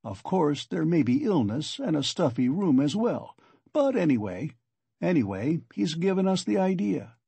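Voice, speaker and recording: Sly, solicitous investigator for a novel, played by a male reader: animated, husky, rambling and wheedling. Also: a little high, normal speed, no room sound, voice pushed up, exaggerated voice. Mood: teasing, needling. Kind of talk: audiobook character acting.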